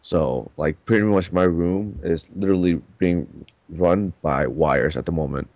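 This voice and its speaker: A man's voice: low voice, unhurried, a little mellow, no room sound. A man co-hosting a casual gaming podcast while sick with chest congestion, talking in a rambling, easygoing way. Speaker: podcast co-host.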